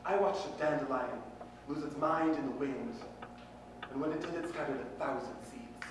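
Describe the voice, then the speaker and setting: A man performing spoken-word poetry with impassioned, deliberate, earnest phrasing. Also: mid-range voice. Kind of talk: slam poetry recitation, dramatic and earnest. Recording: very echoey room, distant mic, faint noise.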